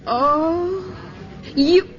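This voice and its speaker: A woman's voice: very high voice, drawn-out words, over music, exaggerated voice. An actress playing an enthusiastic, insistent young woman in a 1950s radio drama. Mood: eager. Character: eager, admiring young woman graduate. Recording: muffled vintage radio broadcast with crowd noise.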